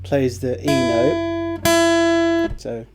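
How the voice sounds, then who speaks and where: A man hosting a casual guitar tutorial, chatty and relaxed, with fillers and restarts, who says his voice is on the low side. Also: very slow. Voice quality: lower voice, by his own account. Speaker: guitar teacher, channel host.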